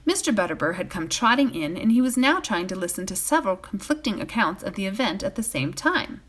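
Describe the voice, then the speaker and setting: A woman reading a bedtime fantasy novel aloud as a volunteer, in an expressive storytelling style. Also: mid-range voice, fairly quick, slightly rough.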